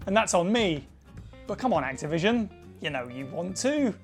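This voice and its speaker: A man's voice: sits high, big pitch swings, normal speed, faint music behind. A man presenting a casual gaming opinion piece, chatty and enthusiastic, with fillers along the way. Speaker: video presenter.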